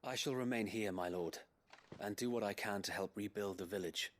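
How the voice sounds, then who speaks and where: A man's voice: on the low side, moderate pace, lightly acted. A man voicing a loyal knight in a game, formal, earnest and deferential. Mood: earnest resolve. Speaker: video game character. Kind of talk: dramatic game voice acting. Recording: faint game music behind. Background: game music.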